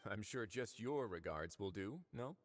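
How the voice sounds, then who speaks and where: A man voicing a composed, wry duke who runs a prison, measured and courteous, in a video game. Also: fairly low pitch, expressive, slight background noise. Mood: wry, amiable.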